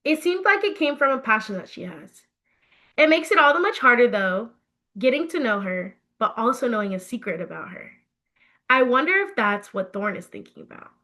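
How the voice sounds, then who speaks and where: A woman with a fairly high, very bright voice narrates a story. She reads in an expressive storybook style, animated and emphatic in her phrasing. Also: normal speed. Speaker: author reading her own book.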